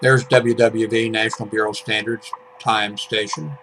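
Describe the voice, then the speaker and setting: An older man in his seventies with a low voice gives a casual, unscripted review. He speaks haltingly, often pausing in mid-sentence as he works out what to say. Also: little pitch movement, background noise. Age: 70s. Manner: halting, hesitant delivery with mid-sentence pauses. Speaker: radio hobbyist reviewing a portable shortwave radio. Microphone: webcam microphone.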